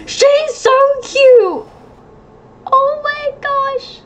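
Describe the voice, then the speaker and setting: A woman's voice: very high-pitched, extremely slow, over music. A woman giving casual let's play commentary, gushing and reacting aloud to the game. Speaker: gaming video host.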